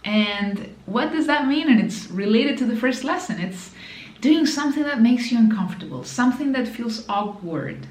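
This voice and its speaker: A woman's voice: on the high side, expressive, normal speed, darkish tone, reverberant. A woman with a Spanish accent, Spanish her first language, giving an emphatic motivational talk to camera as a business mentor, repeating key phrases and addressing the viewer directly.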